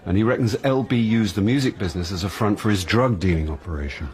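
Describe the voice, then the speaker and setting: An actor playing a wry, boozy middle-aged English amateur detective in a radio drama, with a dry, droll, wordy delivery. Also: male voice, lowish voice, fast talker, a little mellow, close to an everyday voice. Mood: matter-of-fact. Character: wry, boozy, self-deprecating actor turned amateur sleuth.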